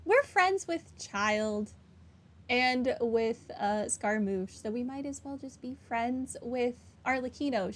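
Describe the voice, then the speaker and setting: A woman giving casual commentary on a game playthrough, with wry, flippant asides. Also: on the high side. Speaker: gaming streamer.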